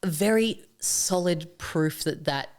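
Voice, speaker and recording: A woman's voice: fairly low pitch, slow pace, a little mellow. A woman, a podcast guest chatting casually, hesitant with frequent restarts and laughter. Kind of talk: casual chat.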